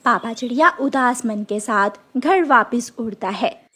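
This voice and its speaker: A woman with a very high voice tells a cartoon tale for children. She works as the narrator, reading scripted storybook narration. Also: lively intonation, unhurried, pushed and bright, breathy edge.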